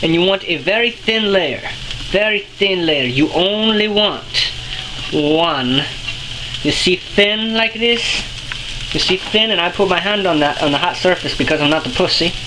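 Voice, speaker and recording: A boastful, bumbling French chef, played by a comedian rambles through a home cooking demo in a put-on French accent that keeps sliding toward Australian or British. His English is broken and peppered with crude swearing. The kitchen is roomy and echoey, and a steady noise runs behind him. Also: mid-range voice, a little slow, natural voice. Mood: cocky. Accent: put-on French, drifting to Australian or British. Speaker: cooking show host. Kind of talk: comic cooking demonstration.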